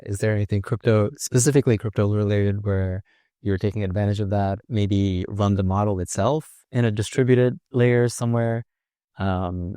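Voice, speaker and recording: A man's voice: lowish voice, mellow, clear, resonant tone, no echo, clean studio sound. A man co-hosting a podcast, asking questions in a casual interviewing style. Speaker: podcast co-host.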